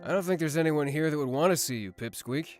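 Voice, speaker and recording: Woman doing gruff mean guy, low voice, sneery, visual novel, music in back. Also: fast talker, close to an everyday voice. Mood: scornful. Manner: sneering, dismissive. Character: gruff, scornful bullying man, fantasy visual novel. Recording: music playing behind the voice.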